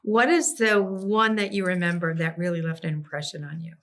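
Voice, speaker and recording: A woman hosting an interview, asking questions and cheering her guest on with quick affirmations. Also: lowish voice, steady tone, a bit bright. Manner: eager, affirming, leads with questions. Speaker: interview host.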